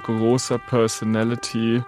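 Halting man thinking aloud over music. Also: medium pitch, completely flat delivery. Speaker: participant operating an AI tool. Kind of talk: casual chat.

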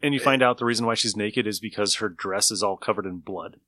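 A man's voice: fairly low pitch, fast, bright, forceful tone, no room sound. A man in casual chat, laid-back and agreeable.

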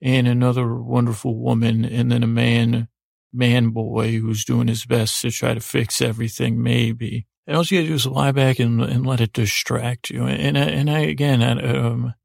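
A man's voice: medium pitch, little pitch movement, normal speed, darkish tone, no room sound, clean studio sound. A man hosting a podcast in a rambling, informal bedtime monologue, hesitant and self-deprecating, often stammering and circling back. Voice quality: gravelly, creaky. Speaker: podcast host.

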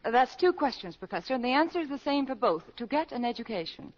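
A sophisticated grown woman playing student in a vintage radio drama. Her fairly high voice swings animatedly, polite and hesitant with a prim, ladylike edge. The broadcast sounds dull and dated. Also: sing-song, fast, a little hiss or hum. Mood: indignant, prim. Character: mature, sophisticated, genteel woman starting college, easily flustered. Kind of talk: 1940s radio acting.

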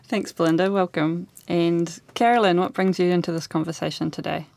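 A woman, moderating a panel discussion in a deliberate, articulate way. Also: fairly low pitch, fairly even intonation, creaky, darkish tone.

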